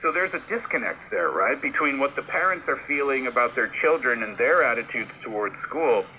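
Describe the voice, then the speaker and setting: A man's voice: medium pitch, animated, brisk, sharp tone. A man hosting a conversational radio discussion, explaining things and checking in with the listener as he goes. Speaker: radio talk show host. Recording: noisy shortwave radio broadcast. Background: shortwave static and hiss.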